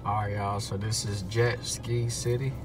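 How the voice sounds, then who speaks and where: A man's voice: fairly low pitch, level tone, unhurried. A young American man giving casual vlog commentary, hyping things up and repeating his phrases. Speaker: vlogger. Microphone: handheld phone. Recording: loud music over outdoor phone recording. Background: loud music.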